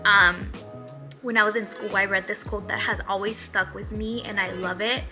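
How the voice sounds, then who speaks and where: A woman's voice: mid-range voice, steady tone, normal speed, over music. A woman in her twenties from Mexico, chatting upbeat and rambling through a get-to-know-me vlog. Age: 20s. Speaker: hairstylist vlogger. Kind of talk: casual Q&A vlog.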